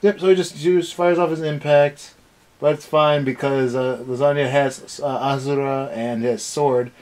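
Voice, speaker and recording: A man's voice: a little high, steady tone, normal speed, a little mellow. A man co-hosting a casual anime review rant, talking in a rambling, sarcastic way. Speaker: co-host of an anime review show. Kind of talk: casual ranting review chat.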